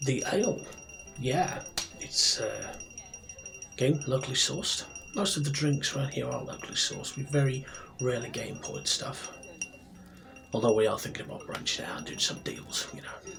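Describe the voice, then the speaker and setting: Soft-spoken, gravelly fantasy barkeep played by a man. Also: medium pitch, noisy background, lightly acted. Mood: gentle, chatty, proud. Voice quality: soft, breathy, often near a whisper. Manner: unhurried, chatty, rambling with pauses. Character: friendly, proud human tavern barkeep in fantasy town. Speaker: roleplay performer. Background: soft music and tavern ambience.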